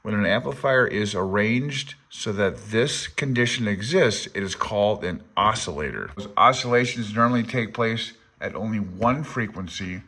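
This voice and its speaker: A man's voice: on the low side, pushed and bright. A man informally reading aloud from a handbook and commenting as a hobbyist presenter, self-deprecating and unsure as he thinks aloud. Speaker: amateur radio hobbyist presenter.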